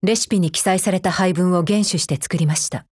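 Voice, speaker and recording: A woman's voice: fairly low pitch, fairly quick, a little mellow, husky, no echo, studio quality. A woman playing a cold, efficiency-minded female combat android in a mobile game, speaking formally in a clipped, stern, unemotional way. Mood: matter-of-fact, stern. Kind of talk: scripted mobile game character voice lines.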